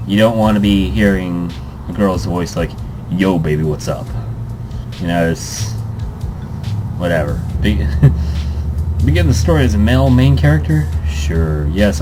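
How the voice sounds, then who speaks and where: A young man hosting a let's play, reading a visual novel aloud with joking asides and fillers. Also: lowish voice.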